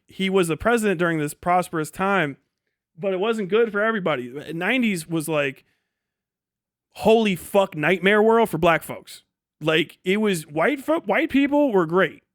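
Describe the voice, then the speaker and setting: A man in his forties with a high voice, recorded dry and close to the ear. He rambles irreverently through a casual livestream rant and swears heavily as he goes. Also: no room sound. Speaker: podcast host and streamer.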